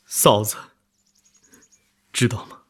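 A man's voice: slow pace, a bit bright. An actor playing a loyal, anxious army officer in a radio drama, emotive and full of care for his comrade. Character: loyal army officer, anxious, warm younger brother-in-arms. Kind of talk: broadcast radio drama acting.

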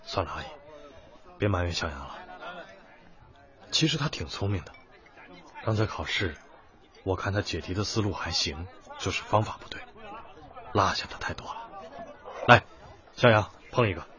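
An actor playing a stern but soft-hearted young home tutor in a radio drama, with a husky voice and an emphatic, schoolmasterly manner. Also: male voice, sits low, lively intonation, moderate pace, pauses often, highs cut off, some chatter behind. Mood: gentle, apologetic.

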